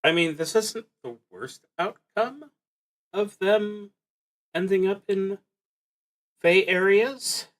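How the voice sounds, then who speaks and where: A man's voice: high-pitched, slow pace, pauses often. A man live-streaming a solo tabletop roleplaying game, reading prompts and thinking aloud as he plays.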